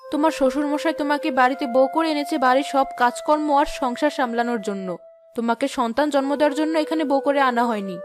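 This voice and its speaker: A woman's voice: high-pitched, fast, natural voice. A woman voicing a domineering, scheming mother-in-law in a cartoon, scolding and bossy. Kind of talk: cartoon voice acting. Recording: music behind the voice. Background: background music.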